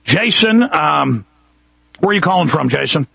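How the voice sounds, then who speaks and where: A man's voice: fairly high pitch, very animated, normal speed, gravelly, fairly bright tone, husky, no room sound. A man with a Texas accent hosting a radio talk show, ranting emphatically and piling up repeated points. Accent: Texan. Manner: emphatic, repetitive, building intensity. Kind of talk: impassioned talk radio monologue. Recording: muffled radio broadcast.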